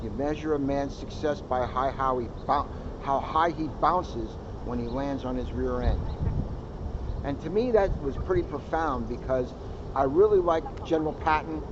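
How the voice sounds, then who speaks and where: A man's voice: medium pitch, moderate pace. A man giving an informal, reflective motivational talk outdoors, earnest and repeating phrases for emphasis, on a noisy, muffled recording. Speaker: police officer and co-presenter.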